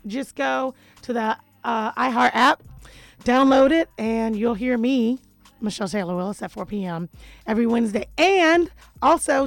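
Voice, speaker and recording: A woman hosting a radio show in casual chat, with a deep raspy voice that is a little hoarse today, and an animated way of giving shout-outs to listeners. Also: no echo. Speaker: radio host.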